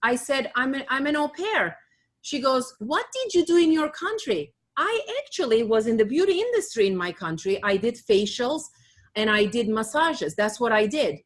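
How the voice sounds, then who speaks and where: A woman's voice: fairly high pitch, sing-song, moderate pace. A woman from Turkey with a Turkish accent, telling her own story in casual chat as a podcast co-host, often laughing and restarting her sentences. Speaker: podcast co-host, beauty academy owner.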